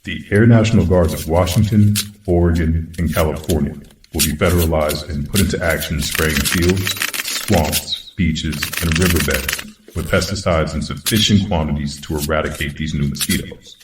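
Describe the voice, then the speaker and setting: A man playing a US president in an audio drama, giving a measured, formal emergency address in an official, solemn manner. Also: a bass voice. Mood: grave, resolute. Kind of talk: formal political speech, scripted audio drama. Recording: roomy, distant, noisy with clicks.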